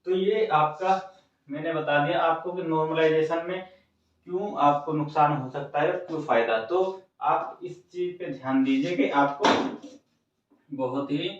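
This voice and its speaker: A man's voice: a little high, a little slow. A man giving an informal, instructive explainer to students, repeating phrases for emphasis. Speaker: exam coaching host. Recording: very echoey room, distant mic, dull sound.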